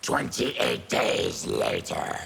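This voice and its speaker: A man voicing a bitter, proud mutant warrior in a fighting game, with a gravelly growl and a slow, menacing delivery. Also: medium pitch, huge pitch swings, a bit bright, exaggerated voice. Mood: gruff. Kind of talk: fighting game character dialogue.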